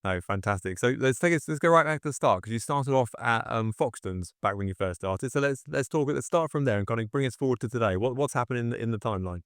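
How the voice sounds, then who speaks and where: A man's voice: medium pitch, lively intonation, quick pace, few pauses, a bit bright, clean tone, no room sound, clean studio sound. A man hosting a casual interview, asking chatty, wordy questions in a roundabout way. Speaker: interview host.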